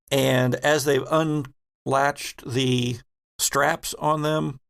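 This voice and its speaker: A man narrating a tabletop horror game as game master, in halting, suspenseful phrases. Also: medium pitch, vocal fry, smooth.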